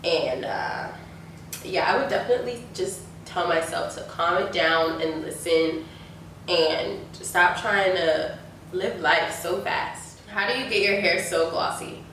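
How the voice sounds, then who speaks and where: A woman's voice: on the low side, a little slow, gravelly, fairly bright tone. A young woman in her twenties, stuffy from a sinus infection, chatting casually through a Q&A with lots of likes and ums. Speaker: beauty YouTuber. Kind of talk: casual Q&A chat. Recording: echoey room, mic at a distance, faint noise.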